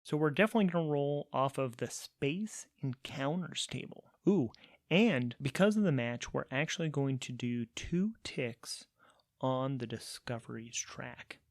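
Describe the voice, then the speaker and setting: A man's voice: medium pitch, expressive, a little slow, no echo, studio quality. A man narrating a solo tabletop role-playing game as host and player, thinking aloud between casual rules talk and storytelling.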